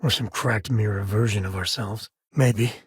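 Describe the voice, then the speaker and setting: An actor playing an easygoing, folksy space cowboy and devoted dad in a video game, with an American accent and a husky, grainy voice. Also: male voice, lowish voice, moderate pace, dry recording, studio quality. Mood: thoughtful, earnest. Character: easygoing wry space cowboy, devoted father, adult man. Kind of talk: video game character dialogue.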